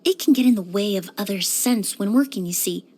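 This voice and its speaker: A woman playing a composed, courteous young woman perfumer in a video game, speaking in a polite, measured, softly formal way. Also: mid-range voice, breathy edge, close to an everyday voice. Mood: courteous, inquisitive. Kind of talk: scripted video game character lines.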